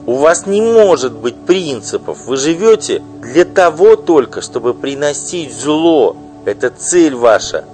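A man voicing an indignant wronged wife who pleads and accuses in a high, loud voice for an audiobook. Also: animated, a little slow, faint music behind. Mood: pleading. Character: indignant wronged wife, middle-aged woman. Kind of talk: audiobook reading.

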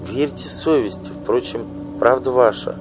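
A man's voice: mid-range voice, unhurried, over music, voice pushed up, lightly acted, poor audio. A man voicing a timid, evasive young woman singer in an audiobook. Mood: defensive. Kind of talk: audiobook reading.

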